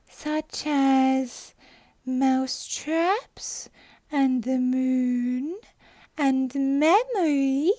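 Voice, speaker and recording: A woman putting on a drowsy little dormouse who drags out every word and pipes up high and squeaky. He is sulky and touchy, with a close, dry storybook sound. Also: dark tone, dry recording, exaggerated voice. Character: sleepy sulky small male dormouse. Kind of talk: storybook character voice.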